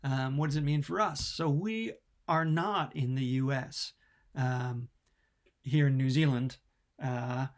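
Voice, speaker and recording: A man's voice: medium pitch, slow pace. A man with an American accent giving a recorded lecture, casual, with many ums and restarts. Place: New Zealand.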